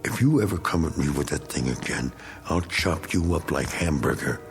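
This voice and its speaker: Gruff bitter american war vet, low voice that swings around a lot, sarcastic, radio play. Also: male voice, expressive, moderate pace, soft background music. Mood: menacing sarcasm. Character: bitter, taunting American war veteran with a guilty secret. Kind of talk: radio drama acting.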